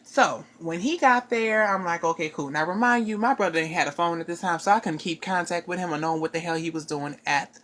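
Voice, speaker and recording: Chatty woman with a lowish voice. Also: fairly quick, few pauses. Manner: rambling, animated retelling with asides. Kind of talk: casual storytelling chat.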